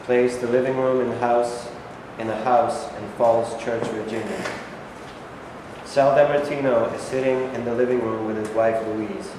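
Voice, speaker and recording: A man's voice: medium pitch, fairly even intonation, moderate pace. Man, mid-range voice, scripted scene narration, play reading, reverberant noisy room. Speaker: reader of stage directions. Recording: very echoey, noisy hall.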